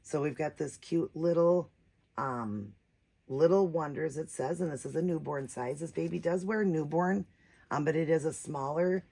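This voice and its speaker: A woman with a naturally raspy voice, a bit hoarse from a cold, chatting casually as she hosts a doll unboxing vlog. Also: low-pitched, moderate pace, warm tone. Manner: chatty, rambling, many fillers. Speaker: reborn doll channel host.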